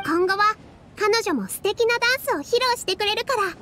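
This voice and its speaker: A woman's voice: very high voice, animated, a little fast, slight background noise, heavily acted. A woman voicing a kind, encouraging young dancer with a polite, girlish manner in a Japanese game dub. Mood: cheerful announcing. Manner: soft-hearted, polite, girlish.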